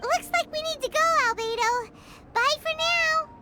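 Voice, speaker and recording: A woman voicing a tiny, excitable, naive childlike fairy companion in a video game, with a squeaky, exclamatory delivery. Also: moderate pace. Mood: curious. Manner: squeaky, refers to self in third person, exclamatory. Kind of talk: cartoonish video game voice acting. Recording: loud background music.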